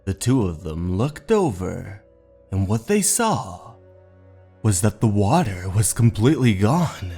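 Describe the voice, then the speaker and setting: A low-voiced man narrates a horror tale in a drawn-out, dramatic way. His pitch swings widely, and music plays under his voice. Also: sing-song, dark tone, breathy edge. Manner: dramatic, drawn-out storytelling delivery. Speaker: narrator and host of manga readings. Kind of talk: scripted horror story narration. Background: background music.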